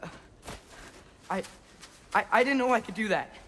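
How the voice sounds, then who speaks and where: A man's voice: high-pitched, moderate pace, fairly bright tone, a little hiss or hum. A young male actor playing an earnest, restless teenage son of a warrior god in a video game, with a questioning, pleading manner. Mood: pleading, defiant.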